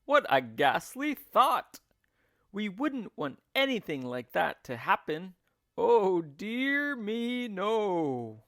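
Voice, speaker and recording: A man's voice: sits high, very animated, slow pace, bright, forceful tone, pitched above the performer's own voice, full character voice. A man voicing a nasty, scheming storybook husband who feigns concern in a gloating, mock-sweet way. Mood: mock horror. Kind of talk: storybook character voice.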